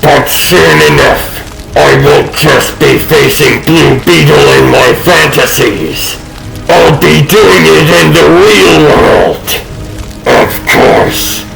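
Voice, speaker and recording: A man's voice: a little high, gravelly, echoey room, exaggerated voice, rough recording. A man playing a grandiose, self-doubting male supervillain in a comic dub, with theatrical, ranting delivery.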